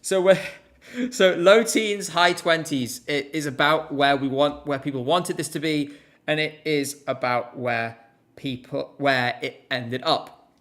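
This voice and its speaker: A man hosts a casual live stream. His voice sits a little high for a man, and he comments informally as he goes, in the manner of relaxed banter. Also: unhurried.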